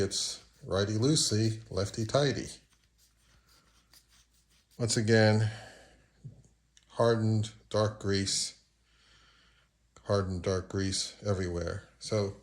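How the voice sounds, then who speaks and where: A man narrating a casual hands-on how-to, unhurried and thinking aloud as he works. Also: fairly low pitch. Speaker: repair tutorial presenter.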